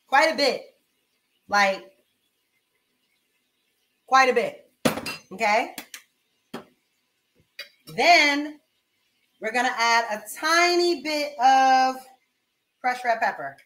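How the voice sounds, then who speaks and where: Young female cooking streamer, bright and higher-pitched, loose conversational delivery to viewers, recorded in a roomy, echoing kitchen. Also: animated, drawn-out words, pauses often. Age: young adult. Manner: informal, slangy, chatting to viewers while moving around. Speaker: cooking livestream host. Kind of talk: casual chatty livestream while cooking. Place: home kitchen, indoors. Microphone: webcam-style mic at arm's length or farther.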